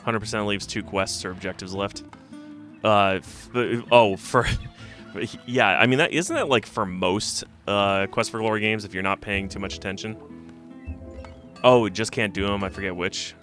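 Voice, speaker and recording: A man's voice: fairly low pitch, moderate pace, fairly bright tone. A man chatting casually while playing a game on stream, slightly stuffy from a cold and rambling as he thinks aloud. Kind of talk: casual let's-play commentary. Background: game music.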